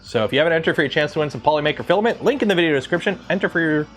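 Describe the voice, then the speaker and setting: A man's voice: fairly high pitch, lively intonation, racing, faint music behind. A man in his thirties from Southern Ontario with a light Southern Ontario Canadian accent, rambling casually with many fillers on a livestream. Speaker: 3D printing livestreamer.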